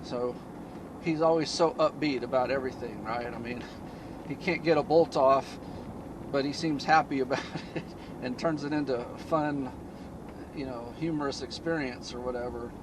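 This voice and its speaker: A man hosting a DIY car channel, chatting casually in a flat, low-key monotone from inside a moving car. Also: normal speed, noisy background. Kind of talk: casual vlog talk while driving.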